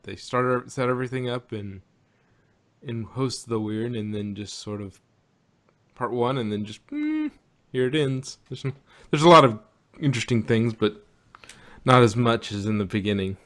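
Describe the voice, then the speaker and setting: A man co-hosting a casual review chat, talking in a wry, halting way with thoughts that trail off. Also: on the low side, a bit bright. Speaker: podcast co-host reviewing audio dramas.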